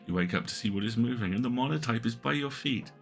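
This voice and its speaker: A man reading interactive fiction aloud on a stream, with measured, atmospheric narration. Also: mid-range voice, lively intonation, vocal fry, soft background music. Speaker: game narrator.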